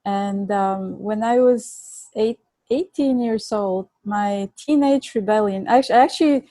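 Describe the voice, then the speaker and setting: A woman's voice: mid-range voice, takes it slowly, dark tone, no room sound. A woman in her forties with a Bulgarian accent, a podcast guest telling her story in an earnest way, laughing easily and restarting words. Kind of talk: conversational storytelling.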